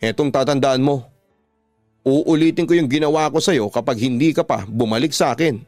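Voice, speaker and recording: A man's voice: mid-range voice, a little fast, a little mellow, no echo, faint music behind, close to an everyday voice. A Filipino man voicing a possessive, manipulative ex-boyfriend in a radio drama, insistent in his delivery. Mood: menacing. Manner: pleading turning insistent and threatening.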